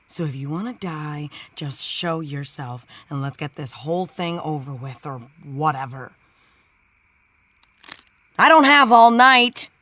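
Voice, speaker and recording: Sardonic, weary female lead for audio drama, curt deadpan delivery at a slow pace. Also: low voice, dry recording, exaggerated voice. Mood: irritated. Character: weary, guarded, sardonic woman. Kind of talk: scripted drama acting.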